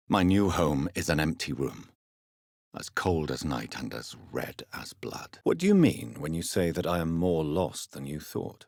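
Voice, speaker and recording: Brooding vampire doctor in a game, really deep n raspy, formal and grave, super dry close recording. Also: male voice, no echo, heavily acted. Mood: sorrowful, then measured, questioning. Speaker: video game voice actor. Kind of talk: dramatic video game dialogue.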